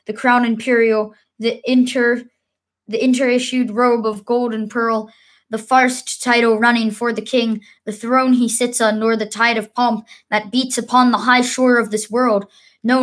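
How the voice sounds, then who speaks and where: A brooding, burdened king, read aloud by a woman delivers a stage soliloquy in old verse. The voice is fairly high and very measured, declamatory but halting over some words. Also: lightly acted. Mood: weary, solemn. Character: troubled young warrior king, brooding on burdens of rank.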